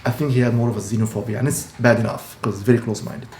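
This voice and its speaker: A man's voice: medium pitch, fairly even intonation, very fast, a little mellow. Earnest man. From Egypt. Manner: earnest, explanatory, frequent restarts. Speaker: dentist and practice owner. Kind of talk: casual talk to camera. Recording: echoey room with faint hum.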